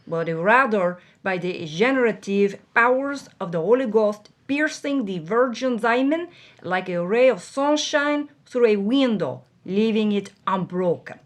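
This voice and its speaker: A woman voicing a suave, philosophical male Spanish film director, deliberate and hesitant as the character savors each phrase in a dramatized story. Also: mid-range voice, animated, natural voice. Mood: wry, pontificating.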